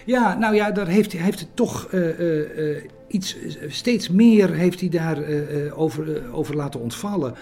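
A man speaks as an interviewee recounting history. He is halting and searches for his words, often repeating them. Faint music plays behind his voice. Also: on the high side, creaky, darkish tone. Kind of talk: hesitant interview talk.

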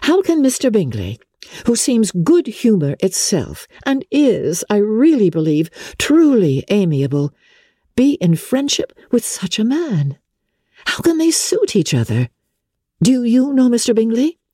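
A woman voicing a spirited, indignant young Regency gentlewoman in a dramatic audiobook reading, with emphatic, incredulous delivery. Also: a little high, big pitch swings, unhurried, husky, dry recording, studio quality, voice pushed up, exaggerated voice. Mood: astonished, earnest.

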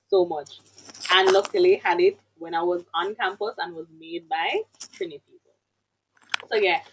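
A woman's voice: lowish voice, slight background noise, low-quality recording. A woman from Jamaica hosting an interview, chatty and enthusiastic in her questions. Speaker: interview host. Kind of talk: casual interview.

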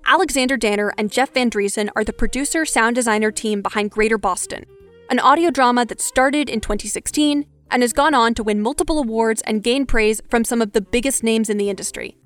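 A woman's voice: on the high side, a little fast, faint music behind. A woman hosting a podcast, reading scripted narration and asking interview questions. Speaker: podcast host.

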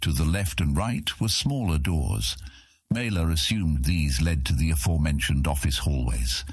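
A man's voice: a bass voice, expressive, normal speed, slightly rough, dry recording, studio quality. A man reading a fantasy audiobook as its scripted narrator.

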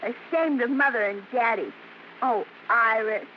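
A woman's voice: fairly high pitch, unhurried, close to an everyday voice. An actress playing an outspoken teenage schoolgirl sister in a vintage radio drama. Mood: accusing, hurt. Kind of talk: 1940s-50s radio drama acting. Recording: noisy, dull vintage radio recording.

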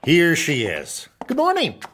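A man's voice: fairly high pitch, wildly swooping pitch, unhurried, a bit bright, slight background noise, heavily acted. An actor playing a pompous, fussy, easily delighted king in a comedic audio drama, with plummy, dithering, formal phrasing.

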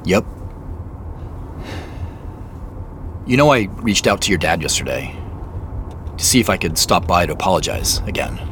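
An actor playing a hesitant, apologetic professional man in a naturalistic audio drama, with a halting, awkward way of talking. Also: sits low, sing-song, fairly bright tone, a little hiss or hum. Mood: awkward, rueful. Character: Joseph, hesitant, apologetic middle-aged professional man with dad jokes.

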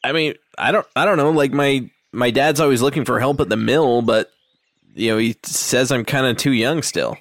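A man's voice: medium pitch, normal speed, no room sound, close to an everyday voice. A man voicing an easygoing teenage boy who talks hesitantly and casually.

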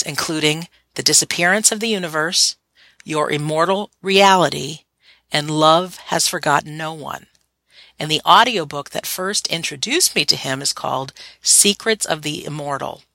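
A woman's voice: low-pitched, no echo. A woman from Massachusetts hosting a radio interview, eager and enthusiastic, with a slight cold. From Massachusetts, USA. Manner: bright, eager, laughs easily. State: has a cold. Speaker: radio show host.

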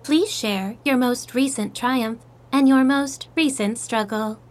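A woman voicing a polite AI app assistant in an audio drama, with formal, measured phrasing. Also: a little high. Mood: polite, neutral. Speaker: virtual assistant.

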